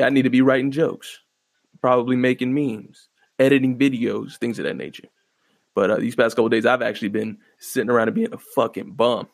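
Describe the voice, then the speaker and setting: A man hosting his own podcast, rambling in a laid-back, profane way with a fairly monotone voice and lots of fillers. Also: mid-range voice, creaky, no room sound. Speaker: podcast host. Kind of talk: casual solo podcast commentary. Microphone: close podcast microphone.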